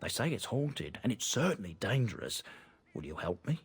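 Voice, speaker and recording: An actor playing a courteous, proud merchant with folksy, old-fashioned phrasing in fantasy game dialogue. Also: male voice, fairly low pitch, big pitch swings, moderate pace, breathy edge, exaggerated voice. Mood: persuasive, hopeful. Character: courteous, proud, persuasive male merchant of fallen noble family.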